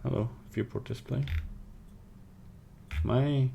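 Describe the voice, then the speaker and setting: A man's voice: medium pitch, steady tone, takes it slowly, creaky, mellow, muffled recording, slight background noise. A young man from Belgium with a Belgian accent, streaming a casual 3D tutorial at his home desk, thinking aloud and rambling as he works. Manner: rambling, thinks aloud, mutters to himself while working. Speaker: livestreaming 3D artist. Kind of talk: casual live tutorial with chat. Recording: faint keyboard typing and clicks.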